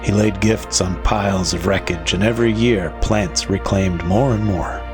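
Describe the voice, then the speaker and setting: Audio drama storyteller: low, grave, measured and unhurried, reading a melancholy tale. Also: male voice. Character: somber storyteller narrating a bleak, mournful Christmas tale. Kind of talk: scripted audio drama narration.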